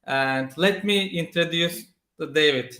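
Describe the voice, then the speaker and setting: Echoey, higher-voiced man hosting. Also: a little slow, noisy background. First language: Turkish. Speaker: interview host. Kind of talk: interview hosting in non-native English. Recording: roomy, echoey sound.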